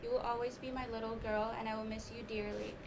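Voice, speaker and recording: Casting: woman as tender, regretful parent, high-pitched, amateur stage monologue, noisy live recording. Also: little pitch movement, fast, recorded from a distance, natural voice. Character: loving parent saying a last farewell.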